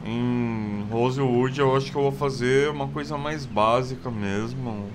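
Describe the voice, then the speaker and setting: A man's voice: mid-range voice, measured pace, background noise. A man with a Brazilian Portuguese accent casually commenting on his survival game, rambling with many fillers. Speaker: gameplay video host. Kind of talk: casual let's play commentary.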